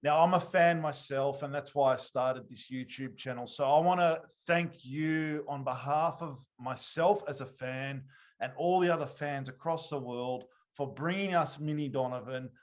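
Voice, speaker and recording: A man's voice: medium pitch, moderate pace. Man, interview host, casual conversational delivery.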